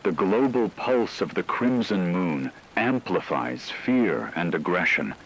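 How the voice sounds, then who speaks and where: A man reading a scripted emergency radio broadcast in a solemn, ominous, measured way. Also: deep voice, expressive, slight background noise, rough recording. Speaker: radio announcer.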